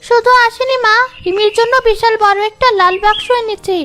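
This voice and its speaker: Cartoon little village girl: very high voice, eager, pleading, stubbornly hopeful. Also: a little fast, a bit bright. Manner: childlike, earnest pleading. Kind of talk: children's cartoon dialogue. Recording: faint music behind the voice.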